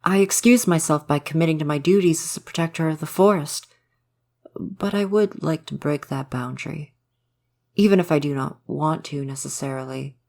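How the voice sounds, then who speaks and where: Guy doing a shy gentle male forest spirit for asmr roleplay, formal and careful, earnest, a bit hesitant, curious about everything. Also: a little high, fairly even intonation, normal speed, creaky. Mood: earnest, uneasy. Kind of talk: intimate ASMR roleplay acting.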